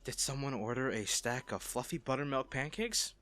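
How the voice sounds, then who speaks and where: A man playing a kind, bashful stranger in an intimate audio roleplay, speaking gently and playfully with some flustered hesitation. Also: medium pitch, moderate pace, lightly acted. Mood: caring, playful. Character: kind, bashful male stranger comforting an injured crash survivor.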